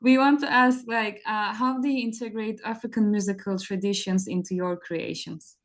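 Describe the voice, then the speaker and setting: A woman interviewing a guest, asking questions in a relaxed way with a few fillers. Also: mid-range voice, normal speed.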